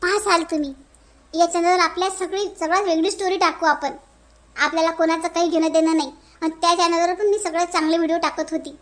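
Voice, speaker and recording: A man's voice: extremely high pitch, steady tone, fast talker, a bit bright. A man voicing a cheerful middle-aged village woman in a cartoon, chatty and folksy with a Varhadi Marathi accent. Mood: pleading. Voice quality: folksy, chatty, adds "हं?" tag questions. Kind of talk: cartoon voice acting.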